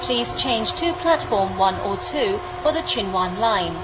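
A woman making formal recorded train announcements with measured, careful enunciation. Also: mid-range voice, animated. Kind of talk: recorded public transit announcements. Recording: noisy clips captured amid train ambience.

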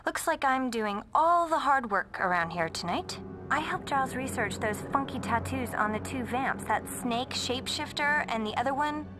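A snippy, higher-voiced vain teen girl. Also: animated, normal speed, over music. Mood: smug, sarcastic. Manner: snippy, self-satisfied drawl. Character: vain, sarcastic teenage popular girl. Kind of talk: video game voice acting.

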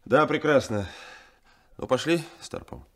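An actor playing a businesslike, decisive sea captain in a 1970s Soviet radio play. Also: male voice, mid-range voice, animated, fairly bright tone, a little hiss or hum. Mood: brisk, decisive. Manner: brisk, decisive.

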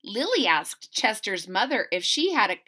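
A woman reading a picture book aloud expressively, pausing to explain and ask young listeners simple questions. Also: medium pitch. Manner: animated, explanatory, addressing children with questions. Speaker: reader of a children's picture book.

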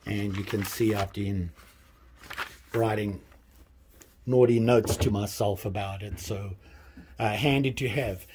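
A man in his fifties casually showing his tools in a small workshop, talking in a relaxed, rambling way with many pauses and restarts. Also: lowish voice, slight background noise. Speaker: hobbyist channel host. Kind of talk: casual show-and-tell vlog. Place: small workshop with pegboard tool wall, indoors.

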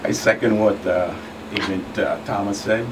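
Male guest investigator, lowish pitch, methodical explainer, recorded at a distance with background noise. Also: fairly even intonation, normal speed. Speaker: investigating agent, guest expert. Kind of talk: explanatory radio interview answers. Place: small radio studio. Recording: noisy, distant mic with room hum.

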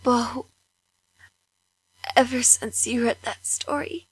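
A woman playing a young cursed female beast, fierce yet tender, in a fan audio drama, with a breathy voice. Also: a little high, steady tone, takes it slowly, no echo, exaggerated voice. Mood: weak, dying.

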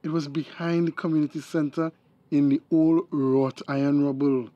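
An actor with a Tobagonian accent playing a pleading, sweet-talking crooked young boyfriend in a radio drama. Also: male voice, fairly high pitch, little pitch movement, normal speed, dark tone, dry recording, dull audio, natural voice.

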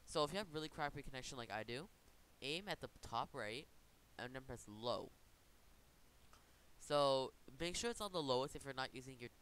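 A man hosting an informal software tutorial, talking in a casual, rambling way and correcting himself as he goes. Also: mid-range voice, lively intonation, moderate pace, smooth. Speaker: tutorial host. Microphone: computer microphone. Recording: noticeable background hiss.

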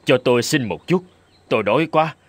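A man's voice: mid-range voice, lively intonation, a little fast, dry recording, natural voice. A man voicing a courteous middle-aged poet and nobleman in a folk-tale cartoon, speaking politely and theatrically. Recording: faint music behind the voice.

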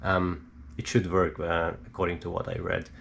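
A man giving a casual, unscripted hands-on explainer as a hobbyist, halting and hesitant as he thinks aloud. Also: low voice, gravelly. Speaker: DIY electronics hobbyist presenter. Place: small indoor home workshop. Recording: steady background noise.

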